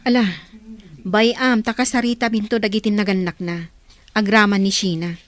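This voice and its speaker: A woman's voice: mid-range voice, steady tone, normal speed, no room sound, lightly acted. A woman playing a kindly, pious middle-aged village auntie in a radio drama, with an Ilocano Filipino accent. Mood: earnest, reassuring.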